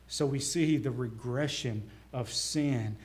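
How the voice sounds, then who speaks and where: A man's voice: mid-range voice, slow pace. Southern man preaching a sermon. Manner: earnest preaching with rising emphasis and quiet drops. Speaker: pastor. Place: church.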